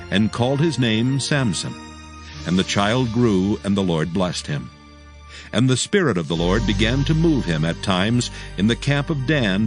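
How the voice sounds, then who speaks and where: A man narrating dramatized scripture as a solemn, measured storyteller. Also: fairly low pitch.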